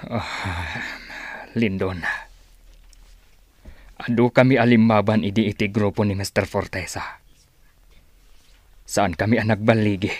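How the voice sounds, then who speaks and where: A man's voice: lowish voice, fairly even intonation, unhurried, long pauses, no echo. A man acting in a radio drama as a resolute older uncle and seasoned fighter, grave and emphatic. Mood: grave, indignant.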